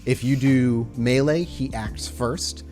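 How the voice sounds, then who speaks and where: A man's voice: medium pitch, fairly even intonation, slow, a little mellow, soft background music. A man running a tabletop horror game as storyteller, narrating in a descriptive, cinematic way.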